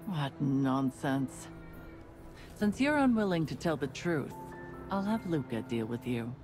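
A woman voicing a cold, ruthless young gang leader who interrogates captives in a slow, measured, commanding way. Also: deep voice, big pitch swings. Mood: menacing. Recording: game voice with faint music.

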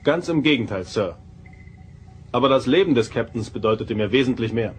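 A man's voice: mid-range voice, animated, fairly quick, slight background noise, close to an everyday voice. An actor playing a confident, dutiful male first officer, formal and respectful, in a German sci-fi audio drama. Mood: respectful, firm.

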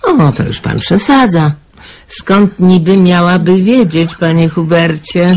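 A woman playing a chatty, motherly middle-aged lady of a remote house, gently teasing her lodger, in a radio drama. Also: lowish voice, slow pace. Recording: faint background noise.

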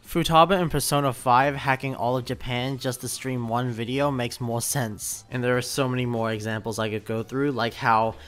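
A young man narrating a video review of a film as a voice-over, talking in an animated, incredulous way with little laughing asides. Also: mid-range voice, moderate pace, hardly any pauses. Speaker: YouTube commentator. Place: voice-over over gameplay footage.

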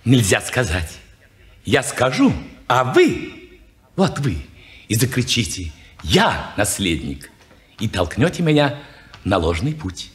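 An actor playing an odd, unbalanced man in his forties who talks in a halting, evasive way in an old radio play. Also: medium pitch, huge pitch swings. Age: 40s. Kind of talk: 1950s radio play acting.

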